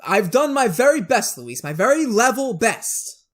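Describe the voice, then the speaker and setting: A woman playing a weary, earnest defender of a condemned friend in a 1940s-style radio drama, halting and subdued. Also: a little high, big pitch swings. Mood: anguished, regretful.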